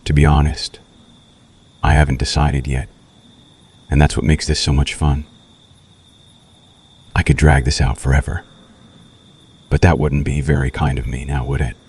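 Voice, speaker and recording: A man playing a seductive, menacing vampire in an ASMR roleplay, speaking slowly and intimately with a possessive, darkly romantic air. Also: flat delivery, full character voice. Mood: teasing, menacing. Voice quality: very deep, husky, breathy. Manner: slow, intimate, softly menacing, teasing.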